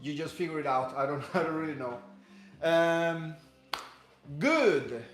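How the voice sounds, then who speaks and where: A Cuban-born man with a Spanish accent, a native Spanish speaker, leading a workout in a halting, self-correcting, encouraging way. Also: a little high, lively intonation, background noise. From Cuba. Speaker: fitness coach. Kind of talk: workout instruction. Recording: echoey room with music behind.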